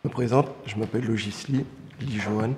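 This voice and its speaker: A man in his twenties from Guadeloupe speaks matter-of-factly in a documentary interview. His voice is a little low. There is long reverb and faint noise behind him. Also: brisk, darkish tone. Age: 20s. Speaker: trainee interviewee.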